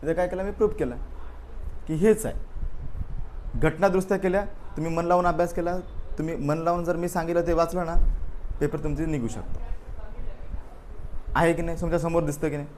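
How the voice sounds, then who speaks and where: A man's voice: a little high, fairly even intonation, brisk, pauses often, a little mellow, slight background noise. A man teaching a live exam-coaching class, going over a past paper and prodding students with frequent "okay" and "right" fillers. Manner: repeats "बरोबर आहे" and "ओके" as fillers, prods students directly.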